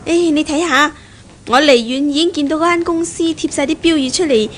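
Casting: woman playing young fiancée, high voice, proud, poised and probing, vintage radio drama with slight noise. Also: a little fast, lightly acted. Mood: light, curious. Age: young adult. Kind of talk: 1970s Cantonese radio drama acting. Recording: noisy 1970s radio drama recording.

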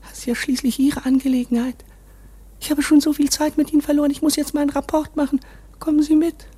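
A woman's voice: sits high, little pitch movement, quick pace, mellow, natural voice. An actress in a German radio play playing an embittered, scornful female court underling, with dramatic delivery that often drops to a whisper. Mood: impatient, coaxing.

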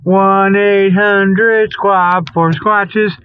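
A man with a notably high voice rambles through a comic radio monologue. His talk is folksy and loose, as a host amused by his own jokes. The sound is very dry and a little muffled, like lo-fi radio. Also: flat delivery, very slow, smooth, no room sound. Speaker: comedy radio show host. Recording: dull lo-fi radio audio.

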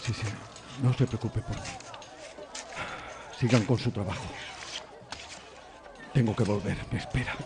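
Grave, gravel-voiced priest. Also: male voice, lowish voice, measured pace, long pauses, noisy background. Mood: weary, troubled. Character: grave, weary priest haunted by an old demonic foe. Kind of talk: radio theatre acting. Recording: radio drama with music behind.

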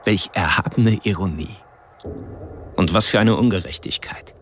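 An actor playing a polite, ironic, quietly sinister man confiding a measured, self-righteous monologue in a radio drama. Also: low-pitched, slight background noise, close to an everyday voice. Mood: bitter irony. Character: polite, ironic, secretly sinister male narrator confiding his thoughts.

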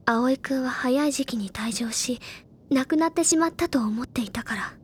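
A woman playing an earnest, imaginative high-school senior girl in an audio drama, speaking deliberately in literary, feminine phrasing. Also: a little hiss or hum, lightly acted. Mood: grave, intent. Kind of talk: Japanese audio drama acting, dramatic explanatory monologue. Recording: loud music bed behind the voice.

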